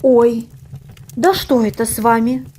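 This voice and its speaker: A woman's voice: a little high, steady tone, slow, dark tone, slightly rough. A woman voicing a strict but caring mother goat in a children's storybook, with an admonishing motherly manner. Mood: concerned, questioning. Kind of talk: storybook character reading.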